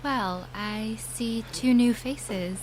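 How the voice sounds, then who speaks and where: Slow, solemn woman leading a grief group. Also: a little high, background noise. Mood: gentle, consoling. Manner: measured, formal, deliberate phrasing. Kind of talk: fiction podcast acting.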